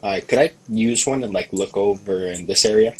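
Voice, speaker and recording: A man with a low, rough-edged husky voice plays a tabletop game. He talks casually and tentatively, working out his moves aloud and repeating himself as he goes. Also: normal speed, darkish tone.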